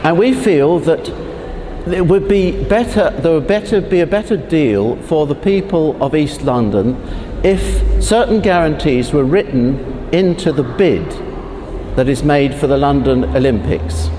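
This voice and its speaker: A man, a parish priest, giving a prepared statement for a video letter, speaking slowly and haltingly, with pauses and restarts. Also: on the high side, animated, big-room sound, background noise. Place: church.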